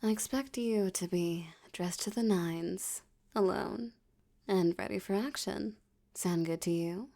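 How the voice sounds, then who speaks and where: A woman playing a flirtatious, domineering mafia boss in an ASMR roleplay, teasing and condescending, with a breathy voice that slips toward whispers. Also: medium pitch, dark tone. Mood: seductive, commanding. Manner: teasing, seductive, condescending, drawn-out delivery.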